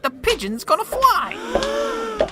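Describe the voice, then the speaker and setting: An actor playing a mocking street tough who taunts and sneers. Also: male voice, extremely high pitch, wildly swooping pitch, slow, very noisy, full character voice. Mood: taunting.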